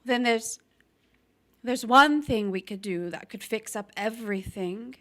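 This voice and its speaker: A woman's voice: a little high, unhurried, bright, forceful tone. A woman playing a scheming, passionate young wife in a crime drama, intense and pleading. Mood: coaxing, scheming. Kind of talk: live radio-play style crime drama acting.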